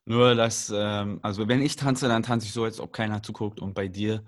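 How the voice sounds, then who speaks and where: A man with a low voice hosts a podcast in a dry, close-miked sound. He jokes and teases playfully as he introduces a guest. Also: fairly even intonation, moderate pace, no room sound. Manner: jokey, playful. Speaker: podcast host. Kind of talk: casual podcast chat.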